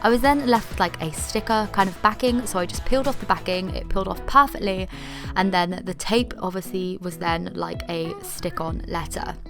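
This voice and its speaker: A woman's voice: fairly low pitch, fairly even intonation, normal speed, vocal fry, over music. A young woman vlogging a DIY tutorial in a casual, upbeat way, full of "like" fillers, while she is losing her voice. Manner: chatty, upbeat, lots of "like" fillers.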